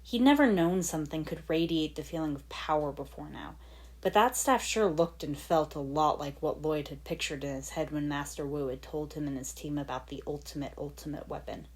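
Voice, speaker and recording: A woman's voice: sits low, fairly quick, breathy edge. A woman reading fan fiction aloud as dramatic scripted story narration. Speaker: fan fiction podfic reader.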